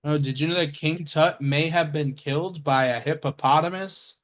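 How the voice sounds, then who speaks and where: A man's voice: fairly high pitch, normal speed, fairly bright tone. A man hosting an online game over a video call, playful and prone to trailing off. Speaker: game show host.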